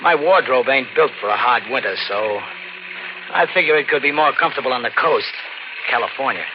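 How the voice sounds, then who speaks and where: A man's voice: mid-range voice, animated, brisk, noisy background, close to an everyday voice. An actor playing a scrappy, broke young male drifter in an old-time radio drama, talking in slangy, colloquial style. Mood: breezy, slangy. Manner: colloquial, slangy hard-boiled narration. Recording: muffled vintage radio recording. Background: loud dramatic music.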